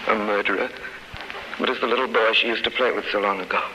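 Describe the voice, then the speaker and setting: An actor playing an anguished, hot-tempered young condemned prisoner in a theatrical 1930s radio drama style. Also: male voice, fairly low pitch, steady tone, fast talker, roomy sound, close to an everyday voice. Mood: despairing, subdued. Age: young adult. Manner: theatrical, impassioned. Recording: noisy old 1930s radio recording.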